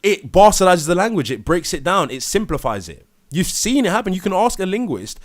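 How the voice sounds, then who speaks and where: A man's voice: high voice, sing-song, fairly quick. Insistent man in a heated debate. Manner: insistent, repeats points, many fillers and restarts. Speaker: debate participant.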